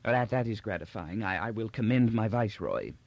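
Guy doing a frail forgetful old emperor for an audiobook, halting and quavery, really swoopy pitch, dry recording. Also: animated, gravelly, a little mellow, dry recording, highs cut off. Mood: kindly, absent-minded. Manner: hesitant, muttering, forgetful. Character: doddering, gentle, confused elderly emperor.

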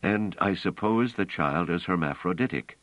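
A man's voice: low-pitched, expressive, moderate pace, fairly bright tone, dry recording, dull audio. A man voicing a mild, anxious scholarly man in an audiobook, speaking hesitantly. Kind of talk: audiobook character voice.

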